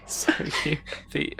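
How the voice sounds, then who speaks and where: A woman narrating a tabletop game as game master in a casual, conversational way. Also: a bit bright.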